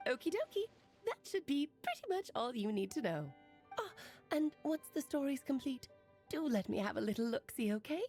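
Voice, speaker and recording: A woman's voice: high-pitched, big pitch swings, normal speed, darkish tone, soft background music. A woman playing a playful, teasing witch aunt in an anime game, with whimsical, theatrical airy confidence. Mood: breezy.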